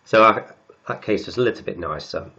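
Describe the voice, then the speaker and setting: Croaky man talking through maths. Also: fairly low pitch, bright, forceful tone, dull audio. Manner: halting, repeats words while writing. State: has a cold, croaky and sniffly. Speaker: maths tutor. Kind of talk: working through textbook maths aloud.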